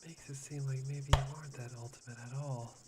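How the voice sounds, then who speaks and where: Someone acting a creepy whispery young guy, fawning and self-loathing, asmr roleplay, loud background noise. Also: medium pitch, steady tone, fast talker, close to an everyday voice. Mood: taunting, unhinged. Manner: breathy, fawning, giggly, softly menacing. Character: unhinged, self-deprecating young man obsessed with hope.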